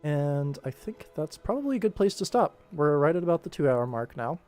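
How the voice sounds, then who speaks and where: A man with a slightly high voice talks casually on a livestream as he works. He explains things informally, thinking aloud like a director, with music playing behind him. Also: very animated, brisk, darkish tone. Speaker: livestream host and animation director. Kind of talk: casual livestream commentary while working.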